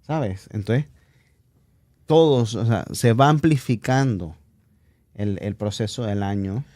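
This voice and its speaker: Mellow man, casual talker. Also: on the low side, slow pace, no echo, clean studio sound. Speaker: podcast guest. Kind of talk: casual chat, personal reflection.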